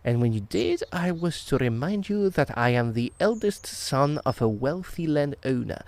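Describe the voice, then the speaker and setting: A woman voicing a naive, simple-minded young man who rambles earnestly and bursts into excited outbursts, in an old-time radio drama. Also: low voice, normal speed, no echo. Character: naive, simple-minded young male suitor, cheerful and eager.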